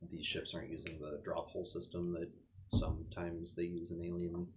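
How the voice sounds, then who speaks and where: A man's voice: sits low, flat delivery, a little fast. A man chatting casually as a player in a tabletop game. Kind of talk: casual chat.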